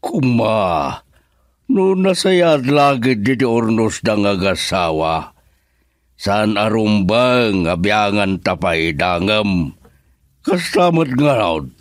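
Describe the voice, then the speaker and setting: An old husband in a radio play, played by a man, speaking slowly and higher than his natural register. The sound is dry and close. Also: sing-song, no room sound. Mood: reflective. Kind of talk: radio drama acting.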